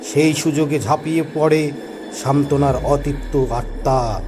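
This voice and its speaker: A man playing a knowing village gentleman in a horror cartoon, who explains local ghost lore in an emphatic, theatrical way. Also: on the high side, steady tone, measured pace, background noise, natural voice. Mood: grave storytelling. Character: knowing village gentleman, reassuring yet scolding, telling a ghost tale. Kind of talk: dramatic cartoon story dialogue.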